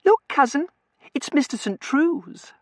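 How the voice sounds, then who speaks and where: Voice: medium pitch, big pitch swings, measured pace, a bit bright, dry recording, clean studio sound, pitched above the performer's own voice. A narrator voicing a spoiled, affected young lady who coos in fluttery, prissy baby talk in a storybook reading. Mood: cooing, coy.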